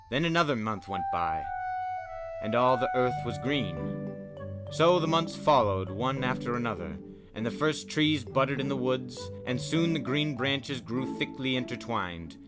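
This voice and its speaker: A man's voice: medium pitch, animated, a little slow. A man hosting a spooky story podcast, narrating in a dramatic storyteller's style. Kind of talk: dramatic fairy-tale narration with casual commentary. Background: music.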